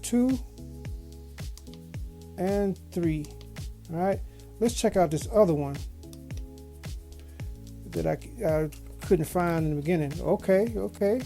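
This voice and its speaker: A woman's voice: fairly low pitch, takes it slowly, pauses often, warm tone, faint music behind. A woman giving a casual product review as a video host, in a folksy, slangy way with repeated phrases. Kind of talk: casual unboxing review chat. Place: small home office studio.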